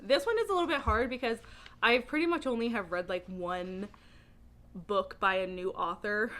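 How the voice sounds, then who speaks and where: Woman book vlogger, bright forward voice, animated casual chat, informal and emphatic, giggly delivery. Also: medium pitch, moderate pace. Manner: giggly, laughs often while talking. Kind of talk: casual chatty video monologue.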